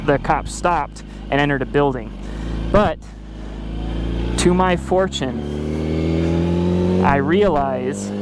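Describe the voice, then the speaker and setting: A man telling a personal travel story in a casual, conversational way, acting out quotes with emphatic pauses. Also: a little high. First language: English. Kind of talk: animated first-person storytelling, casual chat. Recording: noisy outdoor recording with vehicle sounds.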